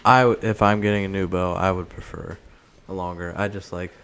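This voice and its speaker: A man's voice: low voice, fairly even intonation, a little fast, a bit bright, a little hiss or hum. A man co-hosting a podcast, chatting laid-back and casually about his hunting gear. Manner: laid-back, brief remarks. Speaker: podcast co-host.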